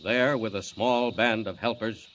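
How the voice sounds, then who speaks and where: A man's voice: fairly low pitch, fairly even intonation, a little slow, fairly bright tone, highs cut off, a little hiss or hum. A man narrating a 1940s radio serial in a dramatic, urgent announcer manner.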